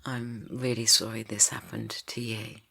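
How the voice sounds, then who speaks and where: A man guiding a yoga nidra rest for grief, speaking slowly and softly, drifting into whispers. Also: on the low side, flat delivery, vocal fry. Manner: slow, soft and soothing, drifting into whispers. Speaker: meditation guide. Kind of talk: guided yoga nidra meditation.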